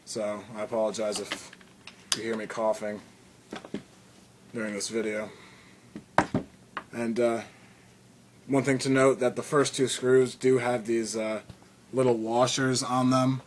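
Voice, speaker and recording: A man's voice: medium pitch, little pitch movement, measured pace, long pauses, noisy background. A man casually walking through a hands-on tutorial, rambling with fillers and restarts, and coughing now and then. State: occasional cough. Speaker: video tutorial maker. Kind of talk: casual how-to demonstration.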